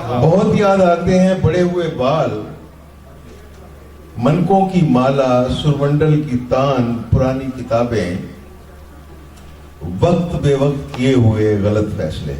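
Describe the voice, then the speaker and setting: A man reciting his own free-verse poems live, in measured, deliberate phrases with pauses and repeated lines, in a roomy recording from off the mic. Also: medium pitch, mellow. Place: literary festival session, recorded live. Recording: roomy, distant-miked live event recording.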